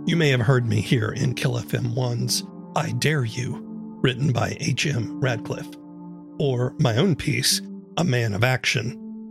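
A man's voice: mid-range voice, gravelly. A man, an audio drama producer, giving a scripted promo introduction in an orderly presenting manner.

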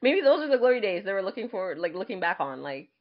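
A woman co-hosting a casual chat show, speaking in a blunt, playful and expressive way. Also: medium pitch, fast, muffled recording. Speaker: podcast co-host.

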